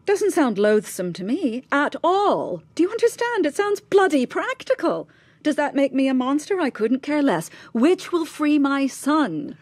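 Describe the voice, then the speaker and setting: Radio drama: haughty, embittered mother, higher-pitched, cutting and insistent, very dry acoustic. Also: very animated, unhurried, darkish tone, no echo. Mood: impatient, sarcastic. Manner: clipped, cutting, sarcastic, with insistent rhetorical questions. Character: bitter, imperious, bigoted upper-class mother defending her accused son. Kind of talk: naturalistic radio drama acting.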